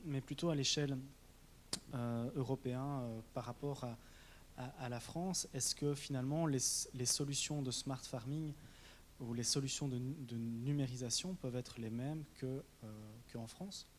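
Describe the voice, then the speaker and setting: A man moderating a panel debate, asking questions hesitantly with many pauses and restarts. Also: medium pitch, slight background noise.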